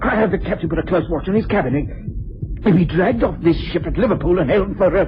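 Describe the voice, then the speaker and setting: An actor playing a stubborn, short-tempered police inspector in a vintage British radio drama, spluttering and emphatic, with a British accent. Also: male voice, sits high, animated, fairly quick. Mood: outraged. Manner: spluttering, barking orders, emphatic. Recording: muffled old radio broadcast with hiss.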